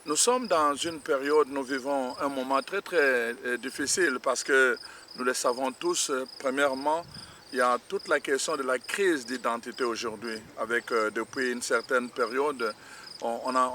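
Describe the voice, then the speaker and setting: A middle-aged man from Congo preaching an online sermon, pausing often with fillers and restarts. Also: medium pitch, pushed and bright, slight background noise. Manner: halting, many fillers and restarts. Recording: distant mic, crickets and insects behind.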